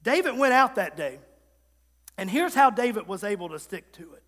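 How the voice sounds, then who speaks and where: Preacher guy w a really high bright voice, punchy repeated lines, big hall echo behind him. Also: big pitch swings, normal speed. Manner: emphatic, repetitive preaching with call-and-response asides. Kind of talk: sermon. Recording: long reverb of a large hall.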